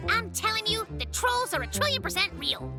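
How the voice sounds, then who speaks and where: Boastful lil polar bear cub inventor, super high cartoon voice, all over the place, dramatic. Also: sing-song, a little fast, music in the background. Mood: excited. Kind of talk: children's cartoon voice acting.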